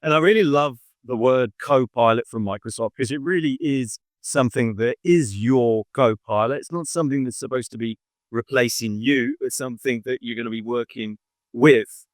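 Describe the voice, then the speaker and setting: A man from England, speaking as a corporate marketing director in a relaxed trade show interview, explaining fluently with plenty of "you know.". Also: medium pitch, normal speed, no echo, clean studio sound.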